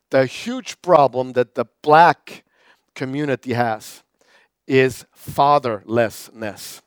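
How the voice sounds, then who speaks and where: Male pastor, crisp dry voice. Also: on the high side, dry recording. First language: German. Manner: conversational preaching with repetitions and fillers. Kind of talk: sermon.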